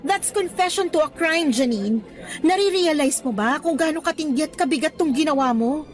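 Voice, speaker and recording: Firm, high-voiced exasperated teacher. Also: female voice, lively intonation, moderate pace. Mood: indignant. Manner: stern lecturing, code-switching into English. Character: firm, exasperated young female teacher confronting ex-student. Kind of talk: Tagalog radio drama acting.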